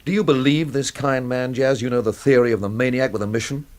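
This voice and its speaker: A man's voice: medium pitch, steady tone, a little fast, darkish tone, natural voice. An actor playing a weary, brooding, dryly wry man in a radio mystery drama, with a literary way of talking. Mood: guarded, wry. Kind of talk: radio drama acting and first-person narration.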